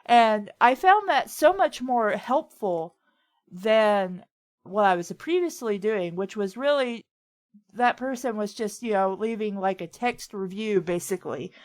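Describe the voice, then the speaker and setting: A woman's voice: mid-range voice, animated, a little slow. A woman hosting a casual chat and giving advice in a conversational, rambling way, often acting out what others say. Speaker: host of a writing advice talk.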